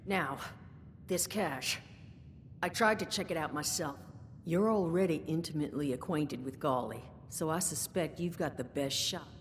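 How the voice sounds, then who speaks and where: An actress playing a world-weary, guarded bar owner in a video game, with a dry, worldly way of talking. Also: female voice, moderate pace, natural voice. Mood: wry, businesslike. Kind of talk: video game dialogue acting. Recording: reverberant game audio with rumbling noise.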